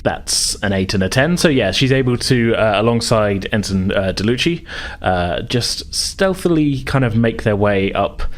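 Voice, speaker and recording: A man's voice: lowish voice, animated, moderate pace. A man running a tabletop roleplaying game, narrating and explaining events in a hesitant, conversational way. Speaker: game master.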